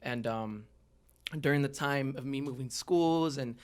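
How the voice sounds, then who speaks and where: Clear-voiced, informal man from Los Angeles. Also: mid-range voice, a little slow. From Los Angeles area, United States. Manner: informal, slangy. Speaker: podcast guest, hip-hop artist. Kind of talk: casual personal storytelling.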